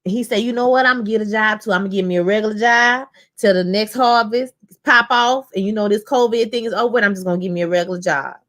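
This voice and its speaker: A woman's voice: mid-range voice, fast talker. A woman in her thirties from Louisiana with a New Orleans accent, chatting casually with her live-chat viewers while reviewing a TV episode. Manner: chatty, animated, talks to chat as "y'all". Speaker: show reviewer live-streaming.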